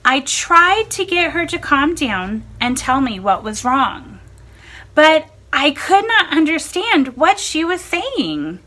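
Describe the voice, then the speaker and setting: A woman narrates a first-person essay at an unhurried pace. Her voice sits high and bright, and her pitch rises and falls expressively as she reads. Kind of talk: measured reading of a first-person blog essay.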